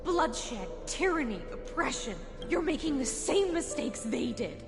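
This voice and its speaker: A woman's voice: sits high, sing-song, unhurried, full character voice. A woman playing an indignant, righteous female knight in a video game, speaking earnestly and accusingly. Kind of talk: dramatic video game voice acting. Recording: long reverb, faint music behind.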